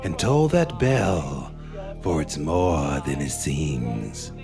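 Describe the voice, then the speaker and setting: A man voicing a sinister, menacing host of a dark realm in a theatrical horror outro. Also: extremely low pitch, big pitch swings, creaky, music in the background, full character voice. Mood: gleefully menacing. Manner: slow, ominous, theatrical. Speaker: host signing off.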